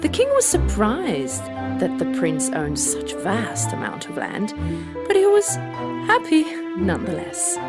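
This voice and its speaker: A woman narrating a children's fairy tale from a script in a dramatic, expressive storytelling manner. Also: medium pitch, a little slow. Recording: music bed behind voice.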